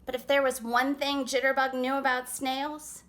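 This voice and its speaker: A woman reading a picture book aloud as storytime narration, expressively and with dramatic pauses. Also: high voice, level tone, a bit bright. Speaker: children's author reading aloud.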